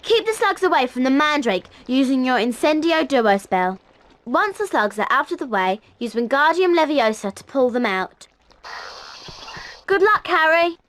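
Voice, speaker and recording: Clever bossy young witch girl, very high, bright, urgent, video game. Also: animated, normal speed, slight background noise. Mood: urgent, instructive. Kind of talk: scripted video game dialogue.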